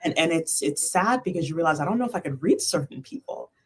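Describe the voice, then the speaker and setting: A woman's voice: low-pitched, expressive, quick pace, husky. A woman chatting casually on a panel, speaking emphatically and acting out her thoughts as quoted dialogue. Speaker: panelist on a spiritual talk livestream.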